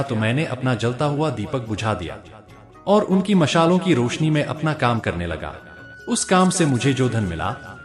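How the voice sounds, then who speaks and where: A man's voice: medium pitch, close to an everyday voice. A man voicing an honest old village weaver in a told folk tale, explaining patiently and plainly.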